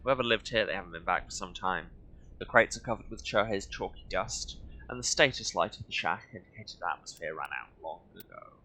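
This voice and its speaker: A man's voice: low-pitched, fairly quick, pushed and bright, poor audio. A man giving casual let's play commentary on a video game, with dry, wry asides muttered to himself. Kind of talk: casual game commentary, some reading aloud of game text.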